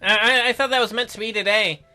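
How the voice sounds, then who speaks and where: A man's voice: high voice, fairly even intonation, fast talker, sharp tone, soft background music, pitched above the performer's own voice. A man playing an awkward, polite, sceptical boy in a story game, with hesitant, stammering delivery. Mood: friendly.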